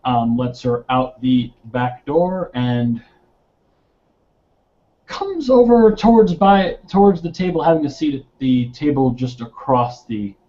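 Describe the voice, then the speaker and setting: A man narrating his roleplaying character's actions in the third person, in halting phrases. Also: medium pitch, very animated, mellow. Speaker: tabletop roleplaying player. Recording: dull lo-fi voice recording.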